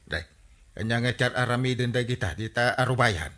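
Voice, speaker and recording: A man with an Ilocano accent playing a lazy, excitable village captain in a radio comedy drama. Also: medium pitch, a little fast, natural voice. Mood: indignant.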